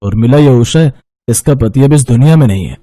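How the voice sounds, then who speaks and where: A man voicing a kind, well-off gentleman employer in a cartoon story, polite and compassionate. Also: mid-range voice, animated, a little fast, no room sound, studio quality, close to an everyday voice. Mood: calm, explaining.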